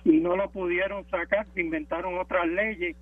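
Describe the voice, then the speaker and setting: Caller: Puerto Rican man, high husky voice, talking politics on a narrow, tinny telephone line. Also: little pitch movement, moderate pace. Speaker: radio show caller. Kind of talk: political call-in talk. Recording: thin, narrow phone line.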